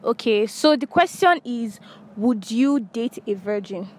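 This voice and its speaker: High-voiced young Nigerian woman interviewing. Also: expressive, measured pace. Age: young adult. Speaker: street interviewer. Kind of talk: casual vox pop questioning. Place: outdoors on a university campus. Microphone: handheld device shared with interviewees. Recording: faint background noise outdoors.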